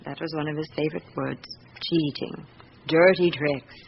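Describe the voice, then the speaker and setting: A wounded, middle-aged British wife confides her grievances in a radio drama. She is candid and reflective, choosing phrases deliberately. The old recording is muffled, with slight noise. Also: low-pitched, steady tone, vocal fry. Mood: bitter, reflective.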